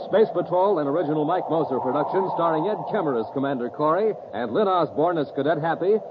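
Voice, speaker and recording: High, lively American radio announcer. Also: male voice, quick pace. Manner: emphatic, showy. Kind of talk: 1950s radio credits and promotion. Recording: very noisy, dull old radio recording.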